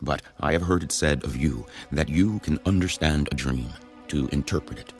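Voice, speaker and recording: A man's voice: a bass voice, moderate pace. A man playing a commanding Pharaoh of ancient Egypt in a stately, declamatory dramatized audio Bible performance. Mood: troubled, grave. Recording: studio audio drama with music underneath.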